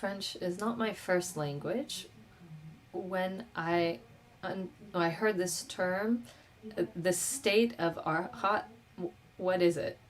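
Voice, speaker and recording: A woman interpreting a question-and-answer session on a video call, speaking in a measured, halting way with pauses. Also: fairly low pitch.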